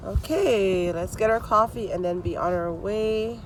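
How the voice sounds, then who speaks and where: A congested woman chatting casually in a vlog, talking her plans through out loud. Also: medium pitch, a little slow, a little hiss or hum. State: congested from allergies. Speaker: vlogger. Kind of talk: casual vlog chat to camera.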